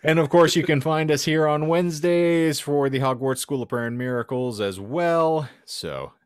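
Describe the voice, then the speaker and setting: A man's voice: a little high, moderate pace, smooth, no echo, studio quality. A man hosting a casual comedy podcast, joking in mock-grandiose, wordy phrases. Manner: jokey, mock-grandiose wordplay. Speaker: podcast host.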